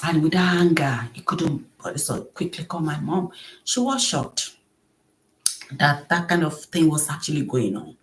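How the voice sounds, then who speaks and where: Soft-spoken woman with a low voice, emotional storytelling, acts out what people said. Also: big pitch swings, measured pace. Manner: earnest, emotional, acts out quoted speech. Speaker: podcast host. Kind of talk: personal storytelling.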